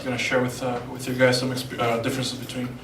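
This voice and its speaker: A young man from Brazil with a Brazilian Portuguese accent, telling deadpan one-liners in a stand-up set at a comedy club. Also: mid-range voice, fast talker, a little hiss or hum. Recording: roomy club, far mic, loud crowd.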